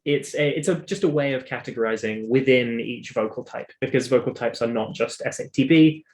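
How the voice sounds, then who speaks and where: A man's voice: mid-range voice, a little fast, darkish tone. A British man talking casually in an interview, explaining his own story in a talkative, emphatic way. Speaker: interview guest, singer and vocal coach.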